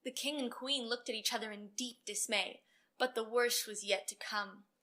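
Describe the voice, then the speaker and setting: A woman storyteller reading a children's storybook aloud with expressive narration. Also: a little high, moderate pace.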